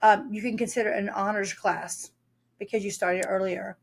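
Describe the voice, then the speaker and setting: A woman's voice: medium pitch, steady tone, moderate pace, vocal fry, slightly rough. Chatty woman explaining test prep. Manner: talkative, explains with stories, frequent fillers. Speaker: test prep author and guest. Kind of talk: podcast interview.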